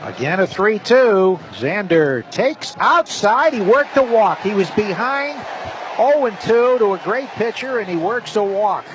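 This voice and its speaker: A man's voice: high-pitched, lively intonation, unhurried, dull audio. A man doing live play-by-play commentary as a baseball announcer. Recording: clear outdoor ballpark noise. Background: outdoor stadium ambience.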